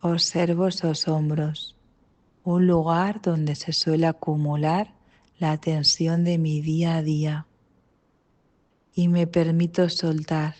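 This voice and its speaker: Woman, Spain accent, meditation guide, very slow pace, soft-edged mellow tone, calm, gentle. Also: low-pitched. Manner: calm, gentle, instructional with long pauses. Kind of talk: guided mindfulness body scan meditation.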